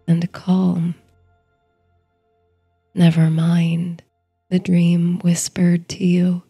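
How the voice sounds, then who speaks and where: Slow, hushed woman narrating bedtime stories. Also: fairly low pitch, gravelly, husky, dry recording. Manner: soothing, hushed, unhurried delivery. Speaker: host and narrator of a sleep story series. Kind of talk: slow bedtime story narration, guided meditation.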